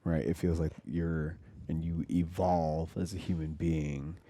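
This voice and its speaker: A man's voice: very deep voice, little pitch movement, a little slow, warm tone, slight background noise. A man hosting a podcast, affirming his guest with frequent "yeah" and asking loose, rambling questions. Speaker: podcast host. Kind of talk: casual interview conversation.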